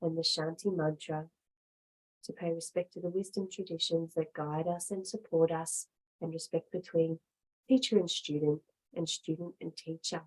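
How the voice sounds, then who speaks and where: A woman teaching yoga, guiding relaxation and meditation in short, measured phrases with long pauses. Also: low voice, darkish tone, poor audio. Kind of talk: guided relaxation and meditation instruction.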